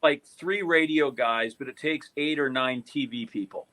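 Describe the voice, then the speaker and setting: A man's voice: medium pitch, moderate pace, pushed and bright. An American man hosting a casual sports interview, bantering and joking as he throws out questions. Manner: jokey, bantering. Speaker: podcast host, interviewer.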